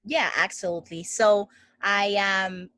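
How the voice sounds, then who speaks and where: A woman's voice: medium pitch, fairly even intonation, measured pace, pushed and bright, no echo. A young woman from the Dominican Republic, an interview guest telling her life story in an earnest, grateful way. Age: young adult. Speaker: guest, student founder.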